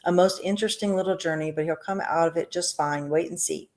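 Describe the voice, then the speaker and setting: A woman voicing an excitable man who runs a chocolate factory, fretful and pleading. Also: on the low side, brisk, lightly acted. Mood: soothing.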